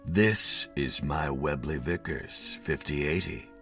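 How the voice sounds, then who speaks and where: A man voicing a cool, fearless, weary hero in a story reading, calm and nonchalant. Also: extremely low pitch, slow pace, faint music behind, pitched below the performer's own voice, heavily acted. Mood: cool menace.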